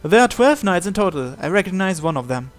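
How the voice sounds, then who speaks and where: A man's voice: sits high, sing-song, fairly quick, faint music behind. A man reading visual novel narration and dialogue aloud.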